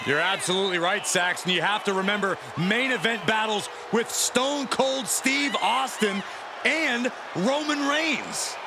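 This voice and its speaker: A man playing an opinionated, excitable wrestling commentator in a video game. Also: sits high, measured pace. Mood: excited, emphatic. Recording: loud arena crowd and noise.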